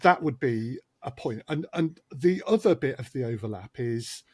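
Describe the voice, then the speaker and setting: A man's voice: medium pitch, expressive, moderate pace, breathy edge, dry recording. A man speaking as a podcast guest, hesitant and full of restarts, with a dry sense of humour. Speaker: audio drama producer, guest. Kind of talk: casual podcast chat.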